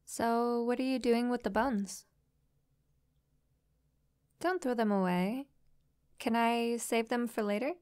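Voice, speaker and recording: A woman playing a friendly, curious young succubus in an intimate roleplay audio drama, with a soft, playful, inquisitive manner. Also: on the high side, slow pace, pauses often, clean tone. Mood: curious, shy. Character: friendly, curious young female succubus new to human life.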